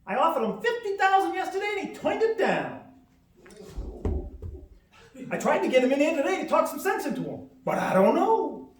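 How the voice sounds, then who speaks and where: A woman's voice: high voice, huge pitch swings, quick pace. A woman playing a pushy, irritable male businessman in a live radio play, blustering and bossy. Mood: exasperated. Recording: echoey hall, distant microphone.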